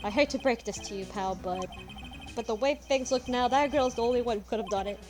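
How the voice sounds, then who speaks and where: A woman voicing a gruff, blustery male police detective who keeps calling people "pal". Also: fairly high pitch, a little fast, lightly acted. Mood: brusque. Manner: brusque, calls people "pal". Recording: game music behind voice.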